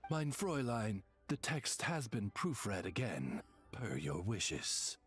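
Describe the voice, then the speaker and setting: An actor playing a refined, deferential familiar in a video game, speaking formally as a loyal servant. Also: male voice, fairly low pitch, sing-song, husky, heavily acted. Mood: dutiful. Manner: courtly, measured, deferential. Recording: game audio with faint music.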